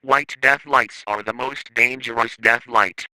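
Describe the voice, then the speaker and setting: A man's voice: mid-range voice, steady tone, unhurried, sharp tone, no room sound, poor audio. A man reading scripted analog horror narration in a formal, matter-of-fact, instructional way, like an informational course.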